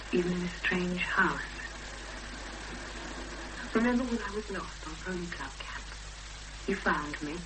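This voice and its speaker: An actress playing an anxious, halting young woman searching for her sister in a 1970s radio drama. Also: on the low side, noisy background. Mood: wistful. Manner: halting, emotional, reflective.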